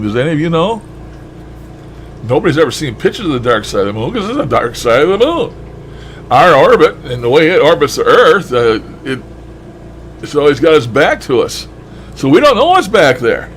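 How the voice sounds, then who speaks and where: A man's voice: on the high side, slight background noise. A man talking in a casual, rambling monologue with a folksy, blunt and mildly profane manner. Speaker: shortwave radio hobbyist vlogger.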